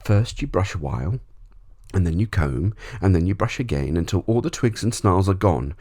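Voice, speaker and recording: A man voicing a polite, quick-witted, eager little boy in a storybook. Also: low-pitched, lively intonation, darkish tone, voice pushed up. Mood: eager, helpful. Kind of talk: storybook character voice.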